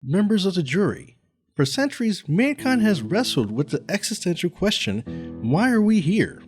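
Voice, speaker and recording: A man playing an impassioned defense lawyer in an animated comedy, with a grandiose, emphatic delivery. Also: high voice, normal speed, soft background music. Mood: grand, impassioned. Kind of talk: animated comedy courtroom dialogue.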